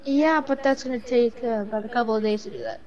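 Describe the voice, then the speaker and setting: A woman's voice: a little high, quick pace, dull audio, a crowd in the background. A woman improvising role-play in a game voice chat, speaking a little hesitantly. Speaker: player role-playing a secret agent.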